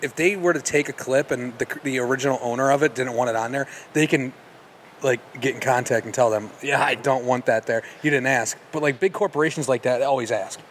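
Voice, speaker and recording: A young man hosting a casual podcast chat, quick and emphatic with slangy phrasing. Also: mid-range voice, slight background noise.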